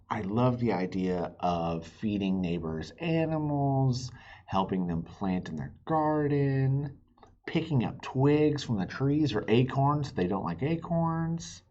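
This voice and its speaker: A man with a mid-pitched, rough-edged voice reads to children as a storytime host. His delivery is highly animated and expressive, with explanatory asides for young listeners. The recording sounds a little dull and lo-fi. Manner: animated, pausing to explain and ask listeners questions. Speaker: children's read-aloud host.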